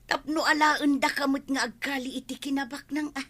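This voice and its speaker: An actress playing a pushy, scheming village wife with a bossy, insistent manner in a radio comedy drama. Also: female voice, high voice, fairly even intonation, fairly quick, sharp tone.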